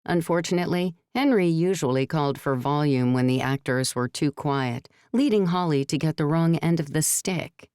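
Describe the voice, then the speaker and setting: A woman reading scripted narration for a novel in a measured storytelling manner. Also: deep voice, a little mellow, smooth, dry recording, clean studio sound. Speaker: audiobook narrator.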